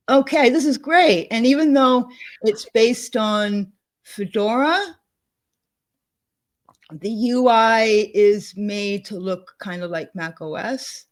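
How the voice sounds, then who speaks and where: A woman co-hosting a casual chat, thinking aloud as she clicks through software at her desk, with frequent laughs. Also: medium pitch. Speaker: co-host demonstrating software. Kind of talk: casual screen-share chat.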